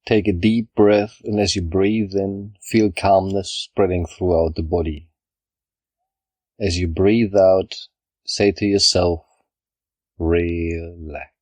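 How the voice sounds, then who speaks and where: A man leading a scripted guided meditation, speaking in a calm, measured, instructional way with pauses between phrases. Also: deep voice, dry recording. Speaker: yoga nidra guide.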